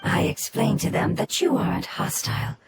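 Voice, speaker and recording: A woman voicing a kind interpreter who speaks softly and patiently, explaining things. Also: medium pitch, very animated, normal speed, vocal fry. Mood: gentle. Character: kind gentle female interpreter for creatures. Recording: game audio over loud music.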